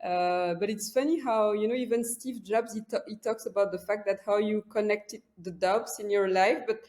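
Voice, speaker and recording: A young woman from Belgium with a Belgian French accent, a native French speaker, talking as a psychiatrist guest on a podcast, softly and with many pauses. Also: mid-range voice, fairly even intonation. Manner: soft-spoken, searching for words with frequent "uh"; laughs lightly while speaking. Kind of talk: casual interview talk.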